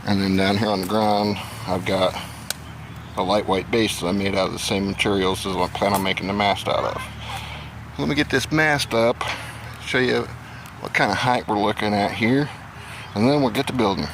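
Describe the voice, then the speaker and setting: An American man with a lowish voice and plenty of fry talks through a hands-on project in a casual, halting way. He is plain-spoken and unhurried. The recording is outdoors, with clear noise behind him. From Indiana, United States. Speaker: amateur radio hobby vlogger. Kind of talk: casual how-to demonstration.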